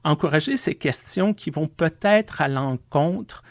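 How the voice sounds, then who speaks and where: A man, a pastor co-hosting a podcast, talking in a thoughtful, measured way from his home basement. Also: fairly high pitch, dry recording. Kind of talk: casual conversation.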